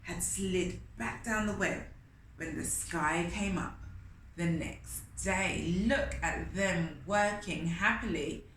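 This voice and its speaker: A woman reading a story aloud to young children, expressive and often putting questions to her listeners. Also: fairly low pitch, slow pace. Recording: roomy echo with faint noise.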